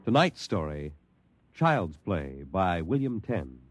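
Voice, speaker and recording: A man announcing a 1950s radio show with a portentous, measured, dramatic scripted delivery. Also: low voice, big pitch swings, a little hiss or hum. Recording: 1950s radio broadcast, music behind.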